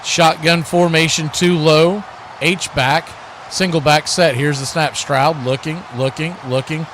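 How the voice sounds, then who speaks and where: A man's voice: on the high side, fairly even intonation, unhurried, fairly bright tone. A man doing live radio play-by-play commentary of a football game as the announcer. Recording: clearly noisy live broadcast.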